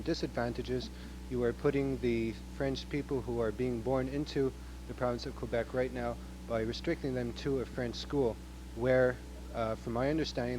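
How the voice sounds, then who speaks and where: Brief: male audience member, earnest question, noticeable tape hum. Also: medium pitch. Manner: earnest, long-winded question. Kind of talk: question from the floor. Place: university auditorium.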